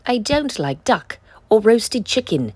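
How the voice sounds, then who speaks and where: Woman playing a fussy, husky-voiced little girl. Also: fairly high pitch, sing-song, slow, darkish tone, no echo, pitched above the performer's own voice, full character voice. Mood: disgusted, defiant. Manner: emphatic, complaining, rhyming protests. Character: fussy, stubborn little girl refusing food. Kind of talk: children's picture book read-aloud.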